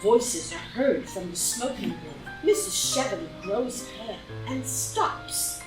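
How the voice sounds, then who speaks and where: A woman's voice: mid-range voice, expressive, takes it slowly. A woman narrator reading the stage directions of a play as scripted audio drama narration. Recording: echoey, distant live reading with music.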